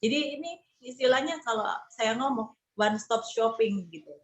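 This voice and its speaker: A woman's voice: on the high side, normal speed, muffled recording. Hesitant woman consultant thinking aloud. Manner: hesitant, many fillers and restarts; informal, conversational explaining. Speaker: marketing consultant. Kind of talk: casual group discussion.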